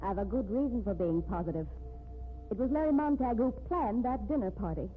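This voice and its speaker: Actress doing earnest pushy woman in old radio mystery, very animated pitch, tinny. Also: brisk, faint music behind, close to an everyday voice. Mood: anxious certainty. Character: earnest, insistent lovestruck woman defending her man. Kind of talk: 1950s radio drama acting. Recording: tinny, noisy 1950s radio recording.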